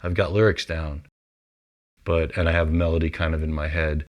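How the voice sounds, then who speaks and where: A man's voice: a bass voice, level tone, moderate pace. A man who works as a composer casually explains his songwriting, thinking aloud in an informal way. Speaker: composer and musician.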